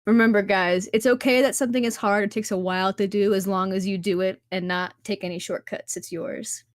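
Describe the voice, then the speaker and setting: Upbeat woman streamer guesting on a podcast, encouraging, mid pitch, dry mic. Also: fairly even intonation, a little fast, dry recording. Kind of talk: casual podcast chat.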